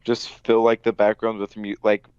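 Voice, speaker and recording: A man's voice: on the low side, monotone, normal speed, clean tone, no room sound. A man chatting casually as a podcast panelist, through a dull, lo-fi microphone. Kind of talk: casual group chat. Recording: dull, dry, lo-fi microphone sound.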